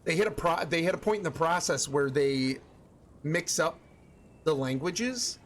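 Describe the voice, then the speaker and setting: A man's voice: on the high side, animated, unhurried, a little hiss or hum. A young man streaming, chatting casually with his viewers and thinking aloud with lots of restarts and fillers.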